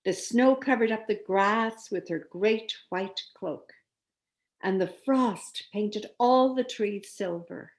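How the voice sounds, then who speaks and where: A woman reads a children's story aloud at an unhurried pace. She is an expressive storyteller who leans on key words for dramatic emphasis, in a mid-pitched voice.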